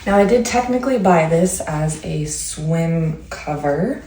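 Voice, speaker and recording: A young woman with a hoarse voice from a cold, chatting upbeat to viewers in a casual try-on haul. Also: low voice, animated, measured pace, mellow. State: hoarse from a cold, partly lost voice. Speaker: vlogger doing a clothing try-on. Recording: echoey room, far from the mic, faint background hum.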